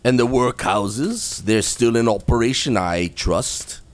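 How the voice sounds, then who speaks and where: A man playing a miserly, grumpy, cold-hearted older businessman in a radio play, with a gruff, scornful, curt delivery. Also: mid-range voice, takes it slowly, lightly acted.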